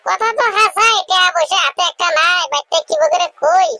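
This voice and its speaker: A very high, artificially raised cartoon voice plays a naive, penniless young bachelor. He sounds bashful and hesitant, speaking colloquially with a rural regional Bengali accent. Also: moderate pace, a loud crowd. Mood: eager, fretting. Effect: pitched-up cartoon voice. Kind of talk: comic cartoon dialogue.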